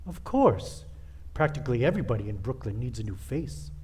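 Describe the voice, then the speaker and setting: A man's voice: mid-range voice, lively intonation, fairly quick, mellow, a little hiss or hum, natural voice. A man playing a menacing, commanding criminal nephew with sinister politeness in a radio comedy. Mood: commanding scheming. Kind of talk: old-time radio comedy acting.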